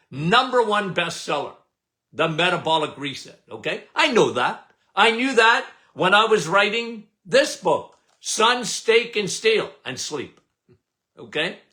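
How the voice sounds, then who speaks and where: Loud, lively older man host. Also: high-pitched. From Canada. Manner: loud, emphatic, repeats "okay?" often. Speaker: podcast host. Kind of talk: casual live talk.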